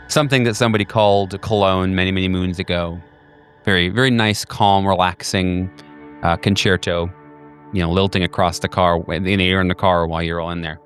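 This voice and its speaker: Brief: low-voiced male game master, loose, rambling narration, soft music bed. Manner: rambling, filler-heavy, with many ums and uhs. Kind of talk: narrating a tabletop horror game.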